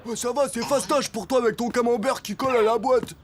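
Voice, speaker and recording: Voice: mid-range voice, steady tone, a little fast, a little hiss or hum, lightly acted. An actor playing a sceptical cartoon schoolboy, dismissive and slangy, always grumbling. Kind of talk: cartoon voice acting. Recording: music behind the voice.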